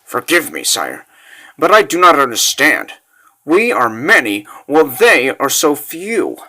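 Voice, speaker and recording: A man acts out a dutiful captain answering to his ruler in a fantasy comic dub. His speech is respectful and formal, with a forceful edge and lively pitch movement. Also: a little slow, exaggerated voice. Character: loyal military captain, dutiful and deferential. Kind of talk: dramatic comic dub acting.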